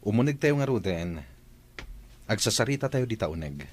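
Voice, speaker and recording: A man's voice: lowish voice, sing-song, moderate pace, darkish tone, dry recording. A man playing a composed, welcoming employer and father in an Ilocano drama, with a conversational air of authority. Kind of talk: Ilocano radio-style drama acting.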